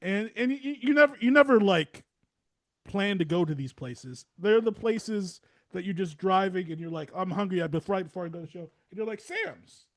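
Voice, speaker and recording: A man with a notably high voice and an American accent hosts a casual podcast conversation. He rambles conversationally, often doubling back and repeating phrases for emphasis. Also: expressive, moderate pace, fairly bright tone. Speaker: podcast host. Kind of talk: casual interview chat.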